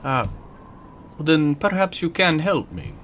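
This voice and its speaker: A man playing a tabletop game, improvising his character's words and actions in a hesitant, halting way. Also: on the high side, very animated, a bit bright, slight background noise.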